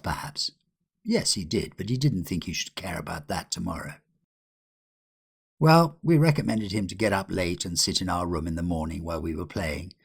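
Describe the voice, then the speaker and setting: An older English man reading a literary ghost story as an audiobook narrator, in a measured, expressive way. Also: deep voice, husky. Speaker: audiobook reader.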